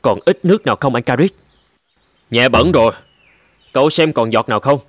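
A man's voice: medium pitch, animated, normal speed, dry recording, lightly acted. A man playing a weary, grumbling gold prospector in cartoon dialogue. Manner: complaining.

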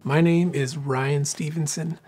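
Guy in his 30s from southern oregon, earnest, thinking out loud, lots of ums. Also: fairly high pitch, steady tone, a little slow, husky, soft background music. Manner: earnest, reflective, with frequent fillers and restarts. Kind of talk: personal interview storytelling.

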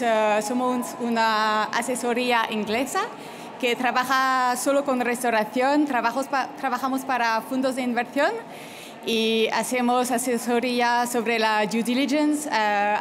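A French woman presenting in accented Spanish, hesitant and dotted with filler pauses. Her voice sits a little high. Faint crowd noise hangs in the background. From France. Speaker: restaurant consultant speaker. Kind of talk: conference presentation.

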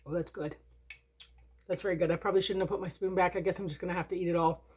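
A woman's voice: lowish voice, fairly even intonation, fast talker, a little mellow, slightly rough. A woman hosting a homesteading cooking video, chatting casually and upbeat as she works in her home kitchen. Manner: chatty, upbeat, thinks aloud while working. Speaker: homesteading channel host.

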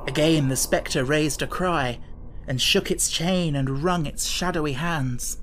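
A man narrating a literary audiobook in a measured, dramatic storytelling manner. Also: on the high side, fairly bright tone, a little hiss or hum. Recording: echoing reverb, music behind.

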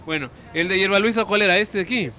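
Bright high-voiced TV host, playful. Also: male voice, fast talker. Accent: Ecuadorian Spanish. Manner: playful, coaxing, repeats words. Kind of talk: lively on-location presenting. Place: hotel kitchen and street outdoors. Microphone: handheld mic. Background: street ambience, traffic.

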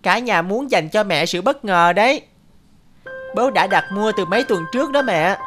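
A woman's voice: medium pitch, animated, normal speed, fairly bright tone, soft background music, natural voice. Woman playing eager, cheerful little boy. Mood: eager, proud. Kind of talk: cartoon voice acting.

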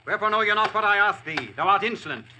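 A haughty, cruel lord barks archaic demands in a high, imperious voice. The old radio recording is clearly noisy. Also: male voice, animated, fast talker, exaggerated voice. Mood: haughty, menacing. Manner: imperious, loud. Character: arrogant, cruel mounted medieval bully. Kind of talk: 1940s radio acting, archaic speech.

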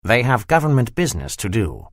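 A brisk, authoritative country doctor, played by a male narrator in a storybook reading. His clipped delivery leaps between high and low, recorded dry and close. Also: sing-song, no echo, lightly acted. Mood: businesslike.

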